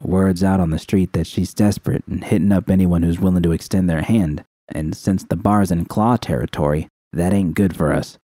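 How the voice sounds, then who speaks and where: A man's voice: deep voice, steady tone, normal speed, hardly any pauses, dark tone, no echo, clean studio sound. A man voicing a tough, worried woman gang member, slangy and conspiratorial, in audiobook dialogue.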